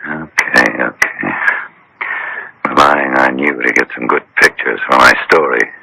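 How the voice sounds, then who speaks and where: An actor playing a grumbling, wry newspaper photographer with a deep gravelly voice in a radio drama. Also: male voice, steady tone, unhurried, pushed and bright, full character voice, low-quality recording. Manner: wry, complaining, casual.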